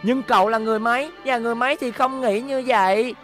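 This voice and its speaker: A woman's voice: on the high side, fast, faint music behind, close to an everyday voice. A woman voicing a brave, kind young robot boy hero in a cartoon dub, earnest and determined. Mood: defiant. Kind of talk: cartoon dubbing.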